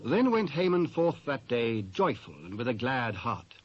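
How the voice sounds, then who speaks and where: A man with a British accent reading scripture as a measured, formal narrator. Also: medium pitch, sing-song, breathy edge, no room sound. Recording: dry, dull old cassette transfer.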